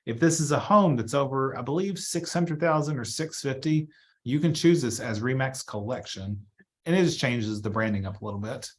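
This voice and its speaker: A man giving a casual software walkthrough as a trainer, chatty and informal, thinking aloud as he works. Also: mid-range voice. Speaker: real estate trainer.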